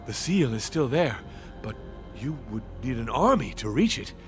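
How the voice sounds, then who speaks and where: A man playing a weary but steadfast soldier survivor in a fantasy game, reporting gravely. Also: mid-range voice, very animated, normal speed, natural voice. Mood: sombre. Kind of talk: dramatic fantasy game cutscene acting. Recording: prominent background game music.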